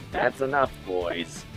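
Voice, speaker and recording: A smug, cruel crime boss, played by a man in an audio drama. His voice swings widely in pitch as he mocks and needles, with music playing clearly behind him. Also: exaggerated voice. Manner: mocking, drawling rhetorical questions. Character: taunting male gang henchman boss, smug and cruel.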